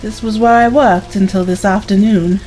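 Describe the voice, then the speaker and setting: A woman playing a loyal, level-headed companion in an audio drama, gentle and matter-of-fact. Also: medium pitch, steady tone, normal speed, soft background music, lightly acted, rough recording.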